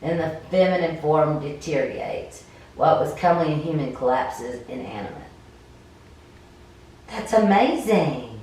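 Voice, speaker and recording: A woman with a low voice reads game narration aloud as she plays, sometimes tripping over the words. She sounds far from the mic in a very echoey room. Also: expressive, a little slow, darkish tone. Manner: stumbles over words while reading. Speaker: player reading a story game aloud. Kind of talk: cold read of game narration with casual commentary. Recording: very echoey room, distant mic, faint noise.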